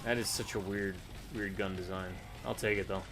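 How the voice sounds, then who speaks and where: A man's voice: on the low side, steady tone, normal speed, over music. A man co-hosting a gaming video, chatting casually and thinking aloud in an offhand, hesitant way. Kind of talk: casual co-op gameplay chat.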